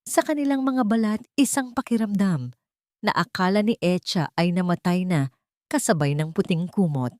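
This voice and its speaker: Female narrator for late-night drama fiction, lowish voice, solemn measured delivery, very dry recording. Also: a little mellow, dry recording. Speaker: story narrator.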